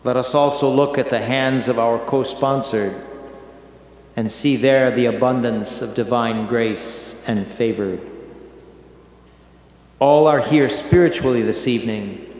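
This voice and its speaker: An older American man, an archbishop, reading a homily in a measured, solemn way with long pauses in a large church. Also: mid-range voice. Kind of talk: slow read homily. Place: large shrine church, indoors. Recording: echoey church with long reverb.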